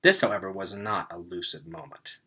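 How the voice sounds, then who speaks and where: Animated man reading a storybook aloud. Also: low voice, moderate pace, slightly rough. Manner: animated, dramatic emphasis. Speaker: read-aloud reader. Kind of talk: expressive storybook read-aloud narration.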